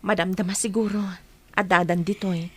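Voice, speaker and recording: A woman's voice: mid-range voice, steady tone, moderate pace, a bit bright, slightly rough. Kind Ilocano young woman, radio play, acted. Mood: coy, reassuring. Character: kind, reassuring young woman, respectful to elders. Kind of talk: radio drama acting.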